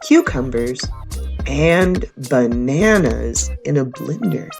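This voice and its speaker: A woman reads a children's story aloud with a lively, swinging intonation. Her voice sits a little low and has some creak, and she takes her time, explaining things to young listeners as a teacher would. Soft music plays along. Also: slow. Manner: expressive, explanatory asides addressed to children.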